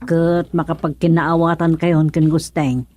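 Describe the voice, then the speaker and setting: A woman's voice: deep voice, little pitch movement, a little slow, warm tone. An actress playing a patient, motherly village woman in a radio comedy drama. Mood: patient, coaxing.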